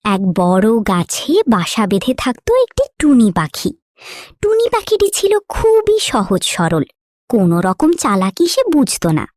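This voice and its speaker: A woman tells a scripted children's tale. Her voice is fairly high and moves animatedly up and down. The sound is very dry. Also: dry recording. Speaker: storyteller. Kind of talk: scripted children's story narration.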